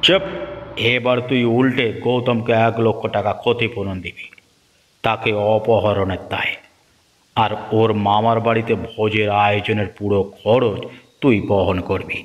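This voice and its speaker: A man's voice: fairly low pitch, steady tone, normal speed, close to an everyday voice. A man playing a commanding, shrewd village master who gives orders and judgement in a Bengali cartoon. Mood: stern. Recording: long hall reverb, music underneath.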